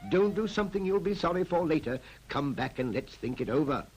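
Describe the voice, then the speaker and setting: An old 1940s film soundtrack with music behind the voice. a confident, persuasive town planner with a fairly high male voice and very expressive intonation. He explains things emphatically, as in an animated public information film. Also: fairly quick, husky. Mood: urgent, placating.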